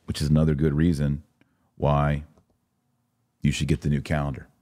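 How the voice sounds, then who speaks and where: Male podcast host, low voice in a dry close recording, emphatic and sarcastic commentary. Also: monotone, normal speed, warm tone, no room sound. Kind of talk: commentary with document read aloud.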